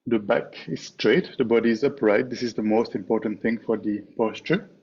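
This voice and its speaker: A man teaching a guided meditation in a measured way, pausing often between short phrases. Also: lowish voice, darkish tone. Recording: dull, muffled online call with clear background noise.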